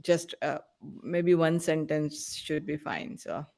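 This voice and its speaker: A woman hosting a podcast panel in a casual way, often halting as she searches for words. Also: low voice, fairly even intonation, vocal fry, a little mellow. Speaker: podcast host.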